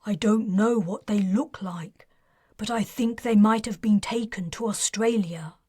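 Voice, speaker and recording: Brief: guilt-ridden male major read by a woman, urgent and pleading, unhurried. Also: mid-range voice, mellow, husky, no echo, studio quality. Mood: earnest.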